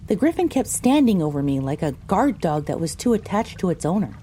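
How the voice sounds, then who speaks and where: A woman's voice: on the low side, very animated, fairly quick, dark tone, slight background noise. A woman voicing a pony who narrates her own story, reading scripted first-person audio drama narration. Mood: wry.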